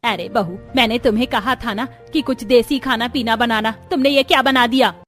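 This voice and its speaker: Placating middle-aged mother-in-law, high voice woman acting. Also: moderate pace, a bit bright, soft background music, close to an everyday voice. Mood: placating, exasperated. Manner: polite, instructing, placating.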